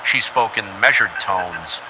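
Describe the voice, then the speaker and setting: A man's voice: lowish voice, lively intonation, slow, background noise. A man reading a scripted first-person horror story as its narrator.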